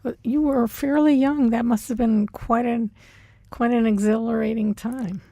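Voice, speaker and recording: Woman interviewer with a gravelly low-key voice. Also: fairly even intonation, measured pace, dark tone, no room sound. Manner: brief, prompting questions. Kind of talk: oral history interview questions.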